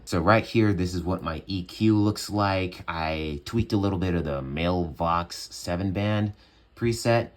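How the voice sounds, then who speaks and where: Deep-voiced young man, chatty and relaxed. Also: unhurried. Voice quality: bassy voice. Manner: relaxed, chatty, many fillers. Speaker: home music producer. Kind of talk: casual tutorial walkthrough. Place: small home studio room.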